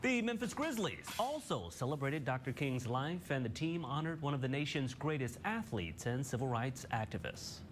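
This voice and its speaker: A man's voice: medium pitch, sing-song, moderate pace, hardly any pauses, background noise. An American man anchoring morning television news, reading scripted stories in a studio.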